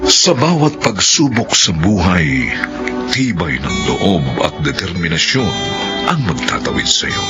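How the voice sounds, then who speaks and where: A very measured, deep-voiced man narrates in a solemn, inspirational style. His pitch swings widely, and loud guitar music plays underneath. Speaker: drama narrator and host.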